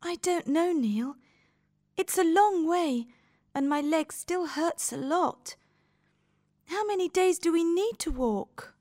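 Hesitant young girl played by a woman, high voice, slow careful lines, audiobook adventure story. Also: animated, a little mellow, no echo, clean studio sound, full character voice. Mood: worried, doubtful. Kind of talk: dramatised audiobook dialogue.

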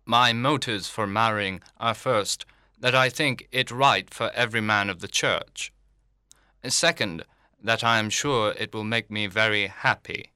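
Man acting pompous clergyman, smug, formal, long-winded, bright forceful tone, slightly low pitch, literary audiobook. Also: unhurried, clean tone, no room sound, studio quality. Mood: self-important. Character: pompous, self-satisfied clergyman proposing marriage. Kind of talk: dramatised literary audiobook reading.

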